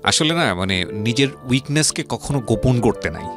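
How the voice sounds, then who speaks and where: A man's voice: medium pitch, lively intonation, moderate pace, over music. A man hosting a conversational interview, affirming and switching into English. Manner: affirming, short responses, switches between Bengali and English. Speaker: interview host.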